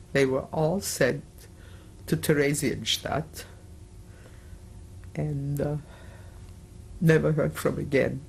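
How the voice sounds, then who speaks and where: A woman in her sixties giving oral history testimony in an interview, speaking hesitantly with many filler pauses. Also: deep voice, vocal fry, a little mellow, slight background noise, low-quality recording. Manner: slow, measured.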